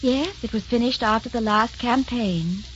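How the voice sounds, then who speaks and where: A woman's voice: fairly high pitch, normal speed, a little hiss or hum, natural voice. An actress playing a devoted, self-sacrificing lady lover in an old-time radio romance, speaking in a solemn, declamatory way. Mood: gentle, restrained. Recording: muffled old radio broadcast, music behind.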